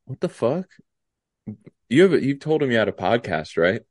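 A young man with a stuffy, congested nose co-hosting a casual podcast chat, halting with many ums and restarts. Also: mid-range voice, big pitch swings, darkish tone, no echo, clean studio sound. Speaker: podcast co-host.

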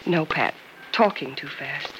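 An actress playing a coy but frank young nurse in an old-time radio drama, with a hesitant delivery. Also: female voice, low-pitched, a little slow, a bit bright. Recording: very noisy vintage radio recording.

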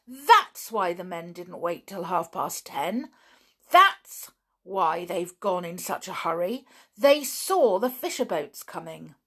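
A woman reading a storybook aloud, voicing an excitable tomboyish young girl who talks with breathless eagerness. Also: on the low side, takes it slowly, husky, exaggerated voice. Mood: excited.

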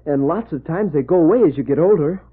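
A male actor playing a kind, earnest fourteen-year-old boy in a radio western, gentle and reassuring. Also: fairly high pitch, animated, fast, breathy edge. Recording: muffled, lo-fi vintage radio recording.

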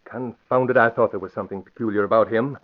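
An actor playing a suspicious, menacing crime boss in 1940s radio drama style, clipped and commanding. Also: male voice, fairly low pitch, steady tone, fast talker, lightly acted. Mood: scornful. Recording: muffled, hissy old radio recording.

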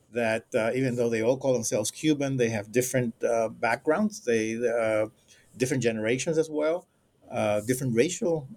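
A middle-aged man, a scholar, answering interview questions in a measured, halting way with frequent filler sounds. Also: mid-range voice, darkish tone. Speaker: guest scholar, co-editor.

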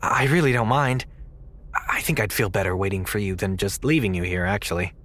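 A man's voice: on the low side, fairly quick, fairly bright tone, lightly acted. A man playing a naive, polite, awkward young man in an audio drama, earnest and hesitant with a stammer. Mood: considerate. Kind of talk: naturalistic audio drama dialogue.

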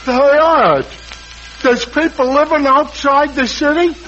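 Dopey tavern dimwit, good-natured, high-pitched, 1940s radio comedy, muffled recording with hiss. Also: male voice, fairly bright tone, exaggerated voice. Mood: baffled. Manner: slow-witted, dopey, hesitant. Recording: dull, noisy vintage radio recording.

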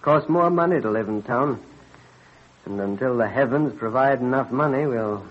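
A man playing an earnest, excitable colonial American inventor in an old-time radio drama, explaining things eagerly and modestly. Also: medium pitch, moderate pace, lightly acted. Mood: resigned. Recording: husky-sounding dull vintage radio recording.

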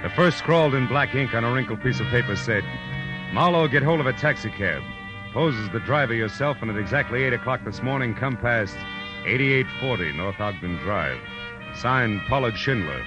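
An actor playing a laconic, wisecracking private detective with an American accent, in the style of 1940s radio drama. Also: male voice, medium pitch, lively intonation, normal speed, close to an everyday voice. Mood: wry narrating. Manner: dry, wisecracking, clipped. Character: wry, laconic hardboiled male private detective narrating his case. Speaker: lead actor and narrator. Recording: old radio recording with music underneath.

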